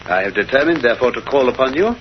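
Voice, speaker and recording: Muffled old radio recording with hiss, man playing assured detective, lively swooping pitch, precise theatrical diction. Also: fast talker, natural voice. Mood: reading aloud. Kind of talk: 1930s radio drama acting. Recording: dull, noisy 1930s radio recording.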